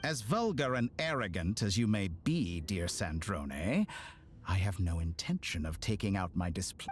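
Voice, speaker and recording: An actor playing an arrogant, mocking villain scientist with godlike ambition in a scripted video game. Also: male voice, sits low, lively intonation, measured pace, slight background noise. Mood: smug. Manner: pompous, mocking, mannered speech. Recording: game audio with music underneath.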